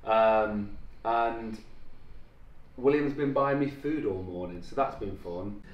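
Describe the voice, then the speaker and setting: A man's voice: fairly low pitch, takes it slowly, highs cut off. A man in his twenties vlogging in a casual, upbeat way, with plenty of fillers, filmed indoors in a studio. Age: 20s. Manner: chatty, upbeat, loose with fillers. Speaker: vlogger and filmmaker. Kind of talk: casual vlog chat. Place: studio office and lounge indoors. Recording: roomy, distant, faint noise.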